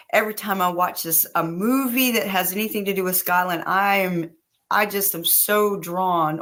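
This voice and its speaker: A woman's voice: mid-range voice, fairly bright tone. A woman co-hosting a talk show, chatting in a friendly, enthusiastic way. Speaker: podcast co-host. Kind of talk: casual talk show discussion.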